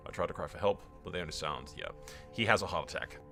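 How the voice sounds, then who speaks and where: A man's voice: low voice, animated, fast talker, vocal fry, sharp tone, faint music behind. A man giving casual, blokey game commentary, swearing freely and addressing his viewers. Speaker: gaming video host.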